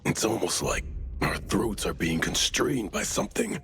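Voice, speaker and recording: An actor playing a calm, gruff, cautious investigator in a video game, laid-back and measured. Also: male voice, fairly low pitch. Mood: calm, wary.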